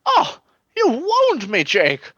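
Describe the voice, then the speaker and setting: Lady doing a breezy evasive gumshoe for a radio comedy, high voice, hugely animated. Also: takes it slowly, dry recording, dull audio, exaggerated voice. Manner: glib, evasive, playfully theatrical. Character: slippery, breezy male detective partner with gambling debts. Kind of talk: comedic noir radio play acting.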